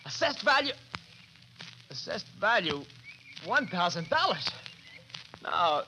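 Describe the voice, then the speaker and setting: A menacing young male criminal, barks insistently with desperate force. The style is 1940s radio melodrama, heard through a clearly noisy old recording. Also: high-pitched, very animated, takes it slowly, sharp tone, heavily acted. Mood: agitated, insistent. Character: desperate, bullying young male murderer and thief. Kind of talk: 1940s radio drama acting.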